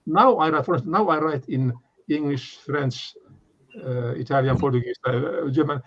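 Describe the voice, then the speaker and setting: An older man from Finland with a Finnish accent, giving an unscripted academic talk in a halting way, full of fillers and restarts. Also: on the high side, a bit bright. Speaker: lecturer. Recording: dull lo-fi recording.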